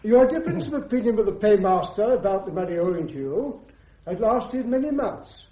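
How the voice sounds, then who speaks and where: An actor playing a courteous, methodical officer questioning formally and politely at a military court in a radio drama. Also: male voice, sits high, lively intonation, a little fast, dark tone. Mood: formal, probing. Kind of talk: British radio drama acting. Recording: roomy, dull old radio recording.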